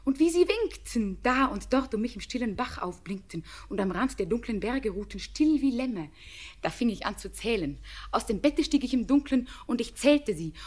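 An actress playing an innocent, tender young village girl in a classic radio drama, speaking lyrical, earnest with hushed phrases. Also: fairly high pitch, lively intonation, normal speed. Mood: dreamy wonder. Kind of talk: classic German radio drama, poetic stage diction.

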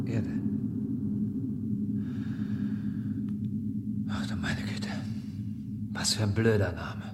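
An actor in a German radio play playing an excitable supplicant, pleading and exasperated before a great computer. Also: male voice, lowish voice, a little slow, gravelly, whispered. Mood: dismayed. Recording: reverberant echoey hall.

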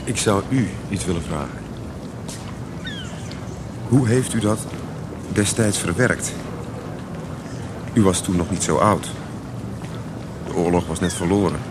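Low-voiced, defiant young avenger. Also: male voice, slow pace, pauses often, background noise. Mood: probing, defiant. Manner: polite but menacing, probing. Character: armed, defiant young man seeking a reckoning. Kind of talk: radio play acting.

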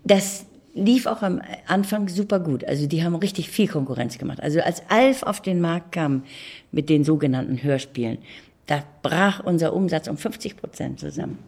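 A woman's voice: deep voice, lively intonation, moderate pace, a little mellow, breathy edge. A woman of mature years. She talks conversationally, looking back as an interviewee. She leans on key words and repeats them for emphasis. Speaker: interviewee, audio drama producer.

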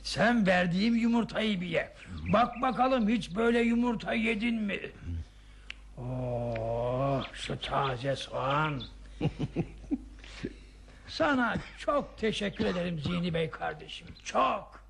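Excitable old guy in radio theatre, creaky high voice, slow and effusive, bit of noise. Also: full character voice. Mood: delighted, grateful. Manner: exclamatory, effusive, repeats words. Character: Hulusi, eager grateful older man, excitable cycling companion. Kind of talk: Turkish radio theatre acting. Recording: faintly noisy old radio drama recording.

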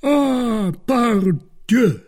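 A man acts out a big, vain storybook gentleman. He speaks high and emphatic, with huge swoops of pitch. He is pompous, hearty and pleased with himself, with a slightly rough edge. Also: expressive, drawn-out words, full character voice.

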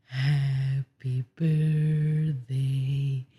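A woman giving a slow, sung performance in a radio drama. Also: very deep voice, level tone, dark tone, slightly rough.

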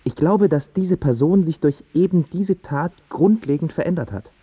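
A man's voice: fairly high pitch, normal speed, dark tone, husky, no room sound, highs cut off. An actor playing a stubborn, self-absorbed young male artist in a German radio play, argumentative and pretentious in his phrasing. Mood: detached, evasive.

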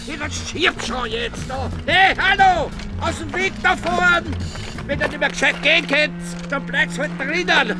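Casting: crotchety old man, extremely high voice, impatiently shouting at everyone, radio drama. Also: expressive, measured pace, loud music behind the voice. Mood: impatient, irate. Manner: shouting orders and insults. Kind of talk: German radio play.

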